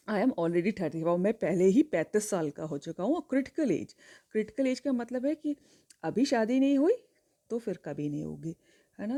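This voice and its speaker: A woman's voice: medium pitch, warm tone. A woman teacher with an Indian accent explaining a play line by line and speaking directly to her students.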